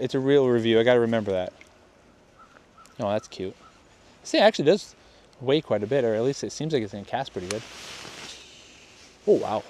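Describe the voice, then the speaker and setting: A young man talks loosely to the camera while testing a fishing reel outdoors by a lake. His voice sits in an everyday middle pitch. He rambles, restarts his thoughts and trails off. Faint outdoor noise from wind and open air sits under the voice. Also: warm tone. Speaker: fishing video host. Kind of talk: casual outdoor gear-test commentary. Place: outdoors on a lakeshore. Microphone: chest-mounted camera.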